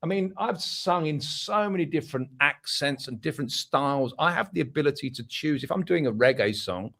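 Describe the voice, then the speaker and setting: Gravelly older man with a London accent. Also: a little high, fairly quick. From Essex, England. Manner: chatty, laughs often, animated impressions. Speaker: musician guest. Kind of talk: casual interview chat.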